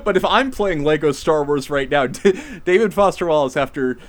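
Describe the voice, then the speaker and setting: A giggly man improvising comedy casually with friends, in a halting way. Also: fairly high pitch. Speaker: comedy podcast host. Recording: other voices and laughter behind.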